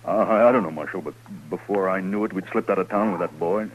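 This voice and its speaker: An actor playing a troubled, guilt-ridden American man of the Old West in a radio western, halting and earnest. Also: low-pitched, highs cut off, lightly acted. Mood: haunted, confessing. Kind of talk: 1950s radio western acting. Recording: faintly noisy old radio recording.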